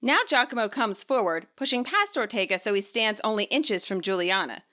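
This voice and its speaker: A woman reading scripted story narration as its author, in an expressive way. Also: medium pitch, brisk.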